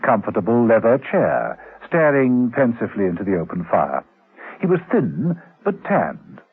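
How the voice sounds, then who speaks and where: An actor narrating a spy radio drama as a composed storyteller, in scripted prose. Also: male voice, medium pitch, slightly rough. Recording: muffled, narrow-band old radio recording.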